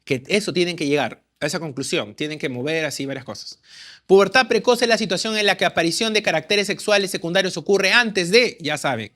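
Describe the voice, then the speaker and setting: A man's voice: high voice, expressive, fairly quick, a bit bright. A man tutoring medical students, walking through exam questions and answering his own questions.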